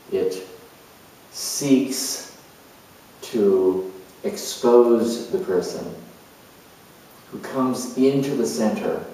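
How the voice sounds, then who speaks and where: A man playing an earnest, self-assured spiritual coach in a dramatized monologue, reflective and halting, with deliberate pauses. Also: fairly low pitch, dark tone. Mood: solemn, measured. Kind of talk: dramatized monologue as if answering an interview. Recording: distant mic in very echoey room.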